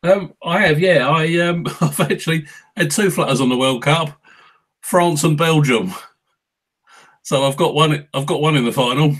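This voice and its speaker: A man's voice: a little high, normal speed, fairly bright tone. A man chatting casually as co-host, giving short laid-back answers. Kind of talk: casual chat.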